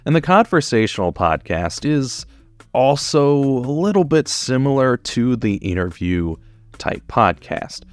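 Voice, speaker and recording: A man's voice: medium pitch, very animated, a little slow, dry recording. A man in his twenties giving a casual, unscripted explainer in an informal, conversational way, as a podcast producer guiding beginners. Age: 20s.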